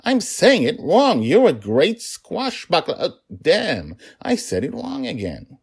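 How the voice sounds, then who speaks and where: A man's voice: lowish voice, sing-song, a little slow, a little mellow, slightly rough, pitched above the performer's own voice, heavily acted. A man voicing a doting storybook dragon father, playful and tender, with exaggerated, teasing emphasis. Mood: mock bewildered.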